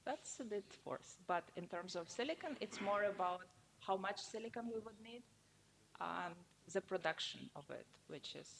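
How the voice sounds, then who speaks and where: A woman's voice: medium pitch, animated, moderate pace, gravelly. A woman answering audience questions after her talk, explaining firmly with some hesitant starts. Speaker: conference speaker answering questions. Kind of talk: academic Q&A. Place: lecture hall. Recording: lecture hall reverb, faint noise.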